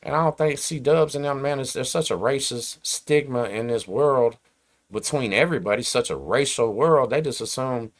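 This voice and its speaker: A man's voice: medium pitch, normal speed. A man, hosting his channel and ranting to camera in emphatic streetwise slang. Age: 40s. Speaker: host. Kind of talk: casual rant to camera.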